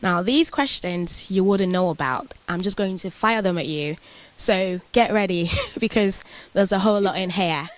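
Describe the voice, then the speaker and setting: A woman's voice: on the low side, normal speed, rough recording. A woman hosting a magazine interview in a prepared, formally worded way. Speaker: interview host.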